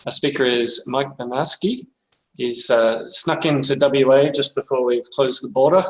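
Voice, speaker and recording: A man hosting a seminar, introducing the speaker in a halting way with many fillers. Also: mid-range voice, fairly even intonation. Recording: echoey room.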